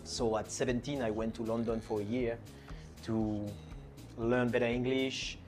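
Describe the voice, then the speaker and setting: A man's voice: medium pitch, flat delivery, unhurried, a little mellow, faint music behind. A man from France with a French accent, a DJ chatting casually in an interview, with lots of fillers and restarts. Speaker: DJ and producer guest.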